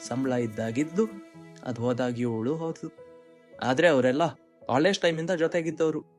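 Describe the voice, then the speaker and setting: A man's voice: medium pitch, moderate pace, a little mellow, soft background music, lightly acted. A man voicing a kind-hearted, jobless young man in a cartoon story, earnest and reflective. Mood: hurt, dejected. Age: young adult. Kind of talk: dramatic monologue and dialogue in cartoon story.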